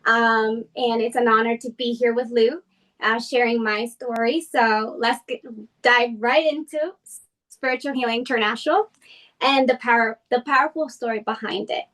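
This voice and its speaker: Woman from colombia raised in boston telling her story, high voice, lots of ums, kinda far from the mic. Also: steady tone, normal speed, a bit bright. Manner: earnest, with many fillers. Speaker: podcast guest. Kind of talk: personal storytelling in interview.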